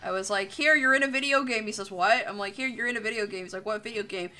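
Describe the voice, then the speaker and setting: Bright-voiced young woman in casual chat. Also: mid-range voice, quick pace. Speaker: livestream host and artist.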